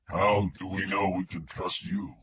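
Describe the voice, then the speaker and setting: A man voicing a menacing male kidnapper who speaks through a voice filter, with slow, cold, flat threats. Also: low voice. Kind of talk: audiobook narration.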